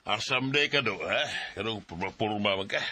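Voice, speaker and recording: A Filipino man with a Bisaya accent playing a gruff, joking middle-aged father in a radio drama. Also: on the low side, lively intonation, normal speed, bright, forceful tone, heavily acted. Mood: teasing.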